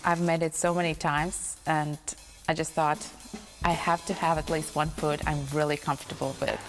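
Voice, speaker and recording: A woman's voice: deep voice, little pitch movement, normal speed. A woman, speaking modestly as a cooking contestant in a reality show interview. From Latvia. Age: 20s. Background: background music.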